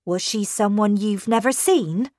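A woman's voice: medium pitch, measured pace, darkish tone, no echo, clean studio sound, close to an everyday voice. A woman voicing a troubled, kindly confidante in a storybook narration, doubting and protective, with an earnest, questioning manner. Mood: alarmed, questioning.

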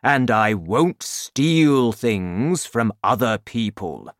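Man playing a slow, emphatic, kindly giant. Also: mid-range voice, sing-song, no echo, studio quality. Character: kind-hearted giant who hates his vegetable food.

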